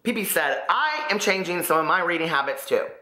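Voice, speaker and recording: A man's voice: a little high, brisk. A man chatting casually to camera about his reading, his voice still partly gone and coming back. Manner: chatty, rambling, expressive. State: voice partly lost, recovering. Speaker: book vlogger. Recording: echoey room.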